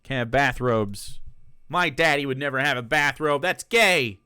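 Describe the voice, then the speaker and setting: A man's voice: mid-range voice, measured pace, pushed and bright, clear, resonant tone, no room sound, studio quality. A man who streams political commentary, reacting to clips in a casual, sarcastic and profane way, laughing between remarks.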